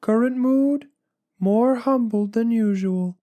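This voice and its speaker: Woman as awkward put-upon blogger, soft mellow tone, fairly high pitch, plaintive conversational comedy reading. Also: takes it slowly. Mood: humbled. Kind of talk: dramatic reading of a comic blog.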